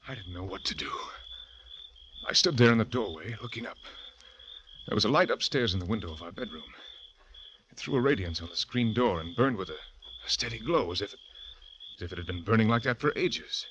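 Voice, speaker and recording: A young actor playing a guilty, panicking husband who narrates his own story in a halting, stammering way, in 1940s radio drama style. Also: on the low side, animated, a bit bright, slight background noise, poor audio. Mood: tense. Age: young adult. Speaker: narrator and lead.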